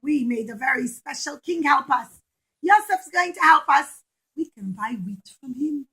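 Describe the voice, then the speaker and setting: A woman voicing an excitable, generous Egyptian king in an exclamatory, emphatic children's storytelling style. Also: high voice, unhurried, heavily acted. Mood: grand, reassuring.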